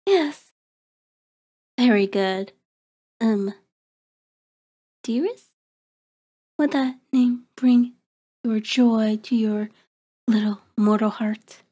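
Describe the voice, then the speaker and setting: A woman playing a seductive, predatory vampire in an ASMR roleplay, soft and breathy with a coaxing, commanding manner, often slipping into a whisper. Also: on the high side. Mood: coaxing, teasing. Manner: slow, deliberate, coaxing, controlling.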